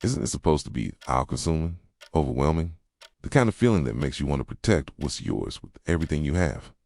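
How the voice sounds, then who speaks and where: A very low, close and dry male voice of an actor as an obsessively devoted boyfriend. He talks softly in a brooding, confessional ASMR style, sometimes dropping to a whisper, with lively swings in pitch as tenderness mixes with possessiveness. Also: fairly quick, gravelly, no room sound, full character voice. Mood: possessive, brooding. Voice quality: soft, low, slipping into whispers. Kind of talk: intimate boyfriend roleplay ASMR.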